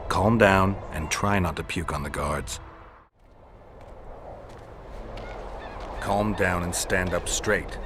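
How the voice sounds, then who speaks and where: An actor playing a stern, world-weary monster hunter in a video game, speaking in a terse deadpan. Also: male voice, low voice, measured pace, noisy background. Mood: stern, impatient.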